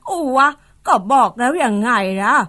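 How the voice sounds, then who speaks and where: A fretful older village mother snaps and scolds in coarse rural speech, as a woman acts her out. Her voice sits a little high. It is a radio-style drama. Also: moderate pace, sharp tone. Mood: scolding. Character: anxious older village mother, sharp-tongued.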